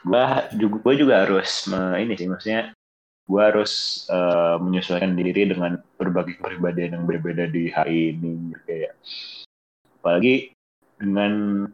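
Low-voiced young man, halting, lo-fi. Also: fairly even intonation. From Bogor, Indonesia. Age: young adult. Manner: halting, searching for words. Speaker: student. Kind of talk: casual chat. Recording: dull lo-fi sound.

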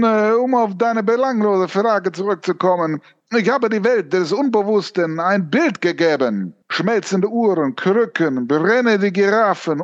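A man's voice: sits high, muffled recording. A man playing a vain, megalomaniac surrealist painter in an audio drama, declaiming pompously and stretching out his words. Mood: boastful, manic. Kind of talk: theatrical audio drama acting.

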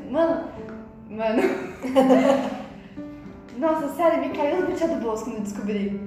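A woman's voice: high-pitched, expressive, unhurried. A young woman with a São Paulo accent, chatting casually and animatedly as a podcast co-host and laughing often. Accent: São Paulo Brazilian Portuguese. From São Paulo, Brazil. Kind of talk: casual chat. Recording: very echoey room, distant mic, music underneath.

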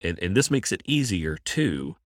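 A very low-pitched man speaks on a dry, close microphone. He is a counselor giving careful, thoughtful answers as a podcast guest, often doubling a word as he works out a point. He sounds middle-aged. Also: big pitch swings, dry recording. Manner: measured, thoughtful, repeats words while thinking.

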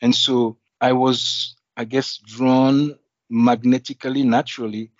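Thoughtful male interview guest, reflective and halting, muffled dry recording. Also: medium pitch, flat delivery, dry recording. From Belgium. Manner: thoughtful, with frequent restarts and asides. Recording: dull, slightly muffled audio.

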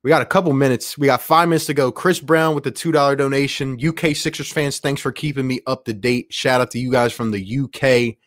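A man hosting a sports livestream in an excited, casual way, reading out viewer messages with plenty of slangy exclamations. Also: medium pitch, a little fast, few pauses, no echo, studio quality. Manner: slangy, exclamatory, addresses listeners as man and bro. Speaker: livestream host.

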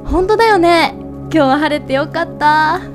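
A woman playing a sweet, excitable young woman in love in an amateur radio drama, gushing with wonder. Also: high-pitched, normal speed, over music, lightly acted. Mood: dreamy, delighted.

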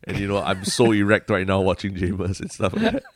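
A man's voice: deep voice, brisk. A man with a Singaporean accent co-hosting a podcast, talking casually and colloquially with Singlish particles. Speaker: podcast co-host. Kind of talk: casual conversational political chat.

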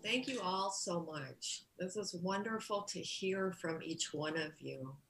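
A woman with a slightly low voice thanks her congregation, pausing often and restarting her sentences. The sound is roomy and echoing around her. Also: fairly bright tone, soft background music. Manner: halting, many fillers and restarts. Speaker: church leader closing a service. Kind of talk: grateful closing remarks.

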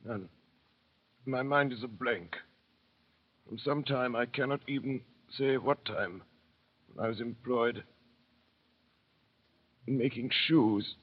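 Frail old doctor: husky, halting, grave, dazed, on tinny vintage radio. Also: male voice, medium pitch. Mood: weary, grave. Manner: halting, grave, repeating himself. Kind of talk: old-time radio drama acting.

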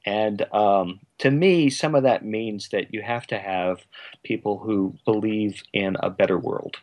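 A man's voice: on the low side, measured pace, a little mellow, a little hiss or hum. A man speaking casually as a writer guest in an interview, effusive and readily amused. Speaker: guest, radio drama writer.

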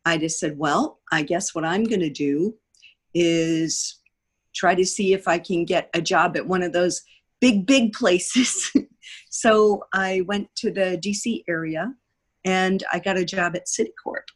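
A woman in her sixties with an American accent, telling personal stories as an interview guest, often acting out what people said. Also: on the low side. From United States. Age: 60s. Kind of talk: anecdotal interview storytelling.

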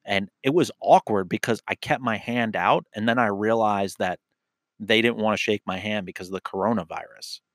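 A man's voice: on the low side, normal speed, a bit bright, no echo, clean studio sound. A man, a police officer hosting a podcast, rambling and correcting himself, swearing and laughing. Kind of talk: casual profane chat.

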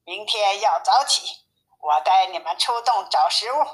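女人扮演的爱发号施令的熊妈妈，语速缓慢. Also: female voice, medium pitch, lively intonation, measured pace, lightly acted, low-quality recording. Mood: bossy, caring. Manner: instructing, calling out commands. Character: caring, bossy mother bear giving orders to cubs. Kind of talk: children's storybook reading.

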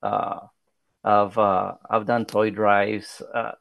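A man in his thirties with a Spanish accent, speaking English as his second language. His low voice has a gravelly fry and sounds dry and close, with no room around it. He talks in a shy, halting way, full of fillers and restarts. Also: flat delivery, dry recording. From South Texas. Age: 30s. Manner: hesitant, many fillers and restarts; modest, soft-spoken. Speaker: political candidate. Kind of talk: podcast interview.

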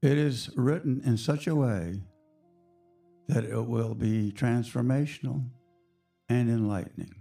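A hoarse male radio host speaks slowly and reflectively, talking straight to his listeners about spiritual matters. His voice is gravelly and mellow. Faint music plays behind him. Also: medium pitch. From United States. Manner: slow, reflective, chatty with the audience.

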